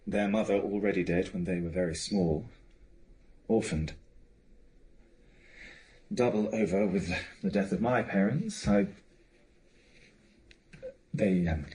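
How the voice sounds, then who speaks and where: An actor playing an evasive, well-to-do bachelor in a period audio drama, halting and stammering as he speaks apologetically. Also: low voice, darkish tone, a little hiss or hum, rough recording. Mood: halting, grave. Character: evasive, well-to-do bachelor gentleman, uncle of orphans.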